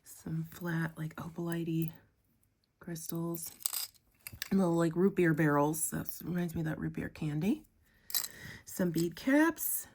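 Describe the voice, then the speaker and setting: A young woman with a cold and a sniffly voice, chatting casually as she unboxes craft supplies, gushing with drawn-out "ooh"s and "um"s. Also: fairly low pitch, a little mellow, slight background noise. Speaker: crafting vlogger.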